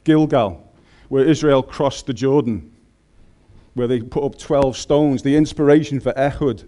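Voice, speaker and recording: A man's voice: medium pitch, unhurried, dark tone. A man with a Scouse accent preaching a sermon in a chatty, joking way, speaking into a microphone in a large room. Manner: humorous, conversational, colloquial.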